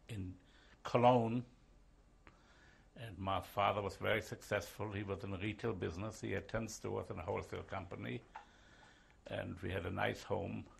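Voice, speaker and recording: Gravelly older man in an interview. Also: fairly low pitch. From Switzerland and Germany. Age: 70s. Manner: halting, with pauses and fillers. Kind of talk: oral history interview.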